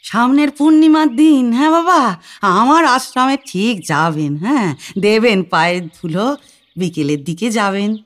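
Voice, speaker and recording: A woman's voice: on the high side, expressive, measured pace. An actress playing a devout, humble village woman ascetic in an audio story, speaking in a reverent, pleading, doting way. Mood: humble, coaxing. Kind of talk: dramatised audio story acting. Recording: music behind the voice.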